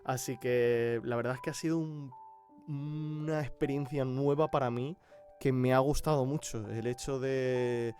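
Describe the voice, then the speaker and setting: Male voice actor, conversational, even intonation, soft music bed. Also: medium pitch, unhurried. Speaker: amateur voice actor. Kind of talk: casual interview testimonial.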